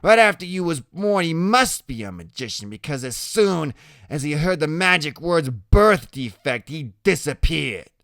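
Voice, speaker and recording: A man voicing a giggly, mean, beer-drinking woman in an audiobook story, excitable and taunting, with slangy exclamations. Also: measured pace, no echo, clean studio sound, exaggerated voice. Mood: cruel taunting. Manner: shrill exclaiming, teasing, slangy.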